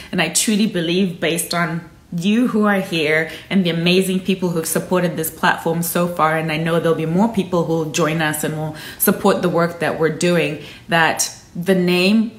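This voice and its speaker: Woman from zimbabwe, shona native, thirties, lowish voice, earnest and encouraging, phone at arm's length, echoey room. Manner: earnest and encouraging, gestures with her hands while talking. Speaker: host of a live stream. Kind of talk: casual live-stream talk to viewers. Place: indoor room at home. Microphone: phone camera at arm's length.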